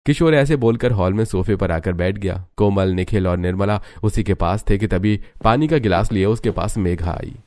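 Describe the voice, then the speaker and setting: A man's voice: fairly low pitch, moderate pace, hardly any pauses, darkish tone, no room sound, clean studio sound. A man reading scripted narration for a family drama story.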